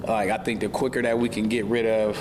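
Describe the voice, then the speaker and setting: A man with a lowish voice and very little pitch movement talks reflectively as a panel guest, feeling his way through his points. The recording is reverberant and noisy. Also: level tone, a little fast. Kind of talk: conversational panel discussion.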